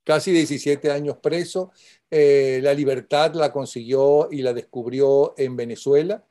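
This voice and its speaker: A man hosting an interview, talking briskly and cutting in. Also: medium pitch, steady tone, warm tone. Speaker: host. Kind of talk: interview conversation.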